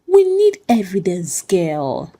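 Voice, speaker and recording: A woman's voice: medium pitch, huge pitch swings, slow, warm tone, exaggerated voice. A woman voicing a bold, sassy, protective friend with an emphatic, teasing manner in a told story. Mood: firm urging.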